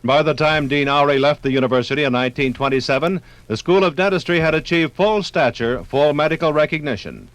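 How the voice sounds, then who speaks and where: A man reading scripted 1950s radio documentary narration in a formal, measured, precise manner. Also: a little high, a bit bright, dry recording.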